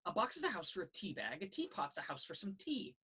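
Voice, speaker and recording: A woman reading a children's picture book aloud in a sing-song, rhythmic way, with a slightly husky voice. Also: medium pitch, big pitch swings, quick pace, sharp tone. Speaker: story reader. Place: indoors in a children's library.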